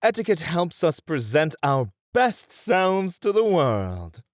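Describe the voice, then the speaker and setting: Slow, suave courtly etiquette tutor, acted by a man. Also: a little high, big pitch swings, no echo, clean studio sound. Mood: courtly, instructive. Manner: theatrical, deliberate, flowery formal phrasing. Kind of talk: ASMR roleplay acting.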